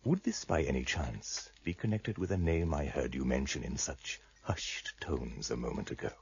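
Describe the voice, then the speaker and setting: An actor playing a shrewd, courtly blind trader in a radio drama, husky and gravelly, speaking in a measured, formal, wry way. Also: male voice, a bass voice, animated, lo-fi sound, exaggerated voice.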